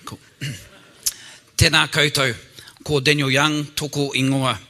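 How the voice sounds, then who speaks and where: A middle-aged man from New Zealand with a local accent, introducing himself as a council candidate in a plain-spoken speech, often clearing his throat. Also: a little high, flat delivery, unhurried, sharp tone. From Auckland, New Zealand. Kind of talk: public campaign introduction.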